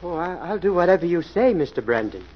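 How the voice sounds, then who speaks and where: Jittery polite waiter, stammers a lot, eager to please, muffled 1940s radio sound. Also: male voice, a little high, very animated, fast, slight background noise. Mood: anxious. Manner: hesitant, stammering, deferential. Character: nervous, servile male nightclub waiter. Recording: muffled old radio recording, music behind.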